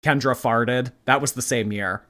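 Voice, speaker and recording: A man with a bright, forward voice riffs as a reaction commentator. His delivery is blunt, sarcastic and full of swearing. His pitch sits in an ordinary middle range. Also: normal speed. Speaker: commentary YouTuber.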